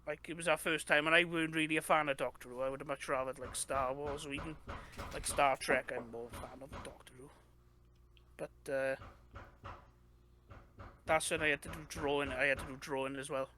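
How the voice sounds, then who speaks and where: A man's voice: a little high, steady tone, brisk. Rambling young Welsh man, noisy line. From Wales. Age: young adult. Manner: rambling, many fillers and restarts. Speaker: guest game developer. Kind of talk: casual interview chat. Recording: noisy background.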